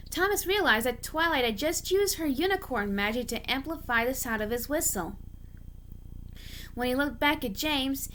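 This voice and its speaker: High, bright-voiced woman reading a story. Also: a little hiss or hum. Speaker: amateur story reader. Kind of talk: scripted narration, reading fan fiction aloud.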